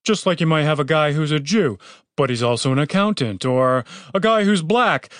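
A man's voice: on the high side, expressive, moderate pace, fairly bright tone, no room sound, studio quality, voice pushed up. A man narrator voicing a panicky, exasperated young man who has become a fantasy bard, whiny and sweary in an audiobook. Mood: exasperated explaining.

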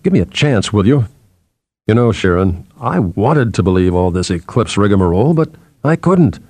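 Man as a mellow, proud newspaperman. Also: fairly low pitch, very animated, moderate pace, no room sound, voice pushed down. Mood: indignant, shaken. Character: proud, weary male newspaperman, uneasy yet stubborn.

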